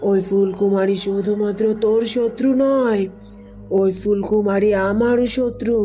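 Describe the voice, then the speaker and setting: A woman playing a vengeful, menacing sorceress in a fairy-tale cartoon, speaking with deliberate emphasis. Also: medium pitch, fairly even intonation, mellow, faint music behind, natural voice. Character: vengeful, menacing sorceress, mother of tantric magic.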